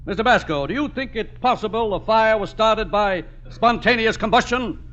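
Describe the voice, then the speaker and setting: An actor playing a brisk, skeptical insurance adjuster, clipped and businesslike, in a radio comedy. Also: male voice, sits high, natural voice. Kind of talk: 1950s radio comedy acting. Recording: dull, faintly noisy old radio recording.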